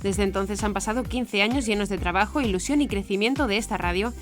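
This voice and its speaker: A woman presenting scripted news in a formal, measured way. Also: mid-range voice. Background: background music.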